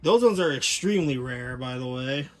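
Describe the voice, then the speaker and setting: A man giving a casual, unscripted show-and-tell as a hobbyist presenter, talking in a rambling, halting, detail-focused way. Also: mid-range voice, lively intonation. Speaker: hobbyist presenter showing his speaker collection.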